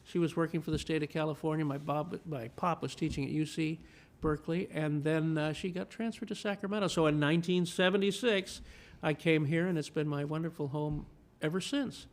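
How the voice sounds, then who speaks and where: A man's voice: fairly high pitch, fairly even intonation, fairly quick. A man in his fifties, born in Chile, telling stories about movies as a guest in a casual interview. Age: 50s. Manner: enthusiastic storyteller, repeats words when starting. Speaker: film critic, guest.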